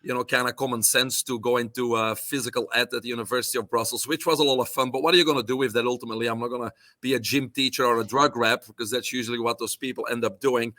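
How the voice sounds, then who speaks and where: A man's voice: medium pitch, steady tone, quick pace, hardly any pauses, bright, forceful tone, dry recording, clean studio sound. A middle-aged man from Belgium with a Belgian accent, talking as a guest in a casual interview, listing things off with many fillers. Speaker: guest, holistic nutrition doctor.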